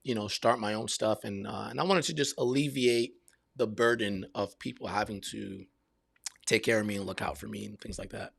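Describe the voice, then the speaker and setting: A man's voice: fairly low pitch, fairly even intonation, moderate pace, vocal fry, a bit bright, dry recording, clean studio sound. A man in his fifties from Brazil and Miami, answering interview questions in a casual, reflective way. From Brazil and Miami, United States. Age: 50s. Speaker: podcast guest, military veteran and realtor.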